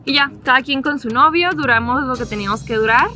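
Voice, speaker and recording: A young Mexican woman in her twenties talks to camera in a relaxed, chatty way, full of little fillers and quick laughs. Her voice sits a bit high. Music plays clearly underneath her. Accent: Mexican Spanish. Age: 20s. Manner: chatty, laughs easily, loose storytelling with fillers. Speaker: travel vlogger. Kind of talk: casual sit-down chat to camera. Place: seated indoors facing the camera.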